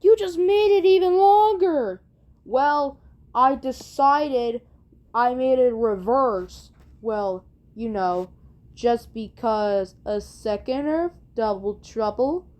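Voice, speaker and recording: A woman with a fairly high voice hosts her own video. She talks to viewers casually and takes her time over the words. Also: animated, slow pace, a little mellow, lo-fi sound. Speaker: video creator and host. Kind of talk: casual video intro and outro chat.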